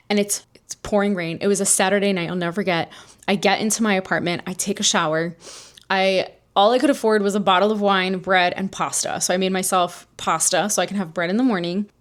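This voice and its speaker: An American woman from New Jersey hosts a solo podcast with a mid-pitched voice. She talks casually and candidly, as if telling a personal story to friends. Her delivery is chatty and unscripted, with the odd swear word. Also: fairly even intonation, brisk. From New Jersey, United States. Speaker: podcast host.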